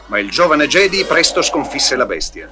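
A man's voice: mid-range voice, sing-song, moderate pace. A man reading scripted narration for an audio storybook. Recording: 1980s storybook record with music. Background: music.

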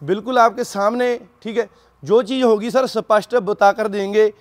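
A man with a notably high voice works as a cattle seller, presenting his stock in an informal sales pitch. He keeps addressing the listener as sir as he talks. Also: steady tone, normal speed. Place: dairy farm.

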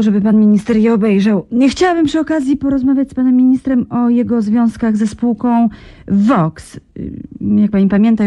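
A woman's voice: mid-range voice, warm tone, dry recording, close to an everyday voice. An actress playing a persistent young woman TV reporter in a radio drama. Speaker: TV journalist Agnieszka.

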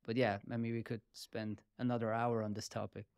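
A man in his thirties, a native German speaker, hosting a podcast interview in a quick, friendly, casual way with many fillers. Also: on the low side, flat delivery, darkish tone, clean tone, no echo, clean studio sound. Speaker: podcast host. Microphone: studio podcast mic.

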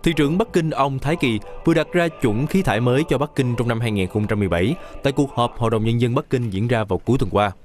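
Fast-reading male news narrator. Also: mid-range voice, faint music behind. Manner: brisk, even newsreading. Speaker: news reporter. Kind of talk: scripted news voice-over.

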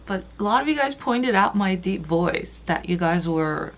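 Woman in her twenties, casual vlog chat, slightly deep morning voice, small apartment room. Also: lively intonation, moderate pace. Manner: chatty, upbeat, says "like" often. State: voice deeper than usual after just waking. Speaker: vlogger. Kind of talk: casual vlog talk to camera. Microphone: handheld camera at arm's length.

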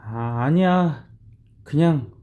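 A woman's voice: low-pitched, expressive, extremely slow, smooth, phone-quality sound, pitched below the performer's own voice, exaggerated voice. A woman voicing a withdrawn middle-school boy in an audio drama, hesitant and often trailing off. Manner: hesitant, trailing off mid-sentence.

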